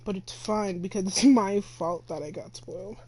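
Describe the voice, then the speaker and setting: A woman's voice: medium pitch, moderate pace, mellow. A teenage female chatting casually and reacting to a game in an offhand, slangy way. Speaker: game streamer. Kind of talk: casual gameplay reaction chat.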